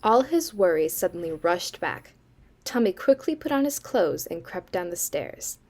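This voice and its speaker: A young woman acting as a sardonic, eerie campfire storyteller in a student audio drama, with dry, deliberate delivery. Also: on the low side, big pitch swings, a little mellow. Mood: ominous, measured. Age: young adult.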